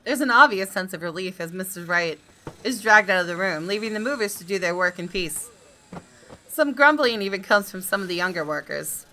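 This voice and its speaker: A woman reading a visual novel aloud as expressive first-person narration. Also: mid-range voice, brisk, a little hiss or hum, poor audio. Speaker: gaming video host reading aloud.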